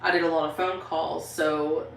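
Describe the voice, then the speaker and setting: Low-voiced Southern woman chatting. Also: flat delivery, moderate pace, creaky, reverberant, slight background noise. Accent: Southern American (Kentucky). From southeastern Kentucky, USA. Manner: chatty and rambling, many "um" and "like", laughs often. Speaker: paranormal channel host reading live chat. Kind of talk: casual livestream chat.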